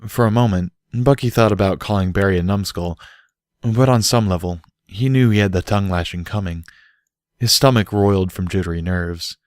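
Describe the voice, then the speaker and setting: A man's voice: sits low, normal speed, no room sound, studio quality. A man reading a story aloud as scripted audiobook narration. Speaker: audiobook reader.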